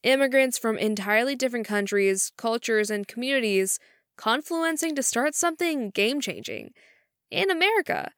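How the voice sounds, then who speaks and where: A woman's voice: fairly high pitch, lively intonation, moderate pace, a bit bright, dry recording, studio quality. A woman hosting a company podcast, reading an upbeat scripted intro and then questioning her guests with enthusiasm. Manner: upbeat, enthusiastic, slightly sing-song delivery. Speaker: podcast host.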